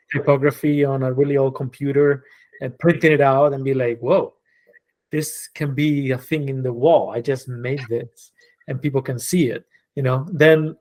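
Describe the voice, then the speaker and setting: A man in his forties who grew up in Spain speaks English as a second language, easygoing and reflective. His thoughts wander with frequent fillers and restarts, and his voice sits at an ordinary middle pitch. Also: darkish tone, rough recording. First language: Spanish. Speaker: guest, designer and creative director. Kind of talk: casual podcast conversation.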